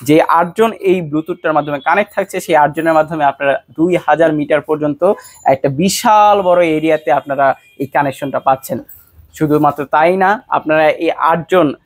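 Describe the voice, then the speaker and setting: Higher-pitched Bangladeshi salesman, dry sound. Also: no echo. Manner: salesman-like, addresses viewers directly with rhetorical questions. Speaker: shop presenter selling a product. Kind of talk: promotional product pitch to camera.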